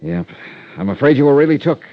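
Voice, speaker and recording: A man's voice: a little high, lively intonation, a little fast, vocal fry. A man playing a blunt, wry, hard-boiled investigator with an American accent in 1950s radio detective style. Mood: wry, firm. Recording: muffled vintage radio recording.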